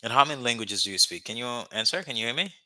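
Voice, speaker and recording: A man's voice: medium pitch, animated, racing, sharp tone, dry recording. A man whose first language is Haitian Creole, from Haiti, chatting casually and rambling to viewers on a live stream about learning languages, with many fillers and restarts. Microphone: webcam or phone mic, speaking to camera.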